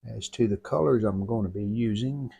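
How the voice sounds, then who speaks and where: American man, lowish pitch, heavy vocal fry, folksy hands-on repair narration, plainspoken, thinking aloud, dry close recording. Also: normal speed, warm tone, no room sound. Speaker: host of a radio restoration channel.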